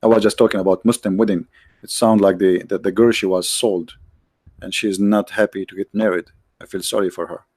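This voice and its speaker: A man's voice: deep voice, steady tone, a little fast, darkish tone, breathy edge, dry recording. A man hosting a livestream, talking casually and sarcastically, with many restarts and fillers. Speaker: livestream host.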